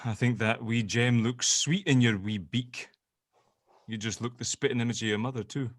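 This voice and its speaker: A man's voice: mid-range voice, fairly even intonation, normal speed, dry recording, studio quality, close to an everyday voice. Awkward, affectionate dad, acted by a man. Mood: teasing. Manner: teasing, flustered, hesitant. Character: awkward affectionate long-lost dad of a teenage girl. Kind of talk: audio drama table read.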